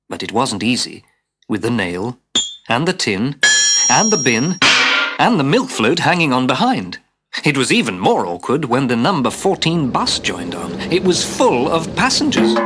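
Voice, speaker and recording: A man narrating a scripted children's story as a dramatic, expressive storyteller who drops into hushed asides. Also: medium pitch. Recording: hissy old cassette tape.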